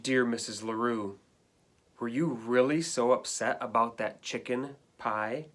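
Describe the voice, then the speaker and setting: A man's voice: medium pitch, steady tone, unhurried, fairly bright tone, close to an everyday voice. A man reading a storybook as an indignant, put-upon pet dog, complaining in a dramatic, emphatic way. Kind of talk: storybook read-aloud.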